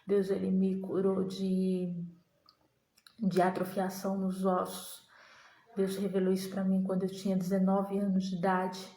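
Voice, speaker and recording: A young woman giving a slow, earnest personal religious testimony to the camera, halting and restarting as she recalls things. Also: mid-range voice, monotone, dark tone, echoey room, rough recording. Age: young adult.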